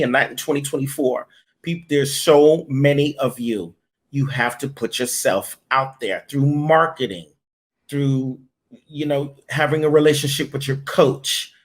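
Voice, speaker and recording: Blunt man giving tough-love advice. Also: mid-range voice. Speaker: voiceover director and coach. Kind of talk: interview guest giving advice.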